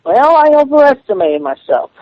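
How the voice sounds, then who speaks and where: A man speaking defensively on a phone call, halting and repeating himself. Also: very high voice, very animated, dry recording. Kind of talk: phone conversation, answering challenges. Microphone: telephone call. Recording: dull lo-fi phone call recording.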